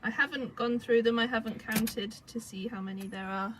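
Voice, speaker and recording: A young woman, croaky from a cold, chatting casually at home about secondhand items she resells, with lots of fillers. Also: a little high, fairly even intonation, moderate pace, a bit bright, poor audio. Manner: chatty, rambling with many fillers. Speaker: vintage reseller vlogger. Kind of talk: casual chat. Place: home indoors. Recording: home recording with clear background noise.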